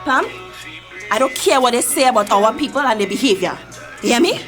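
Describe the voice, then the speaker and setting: A proud older Trinidadian matriarch holds the floor, as an actress plays her, recounting history with fierce conviction. Her voice sits a little high. Music plays under the radio-drama scene. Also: animated, a little fast, natural voice. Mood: impassioned. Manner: storytelling, impassioned, preaching history. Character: Mavis, older community matriarch, proud and forceful. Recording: music behind the voice.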